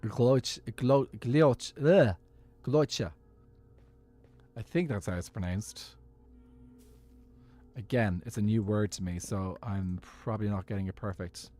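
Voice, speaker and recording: A man's voice: lowish voice, slow pace, long pauses, dark tone, faint music behind. A man giving easygoing, musing commentary while he plays a game as a streamer. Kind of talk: casual gaming commentary.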